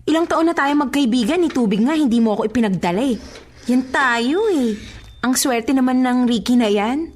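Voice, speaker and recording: An actress playing a blunt, sceptical young best friend in a radio drama, sassy and mock-exasperated, with a Filipino accent. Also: female voice, high-pitched, expressive, normal speed, darkish tone. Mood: sassy, teasing.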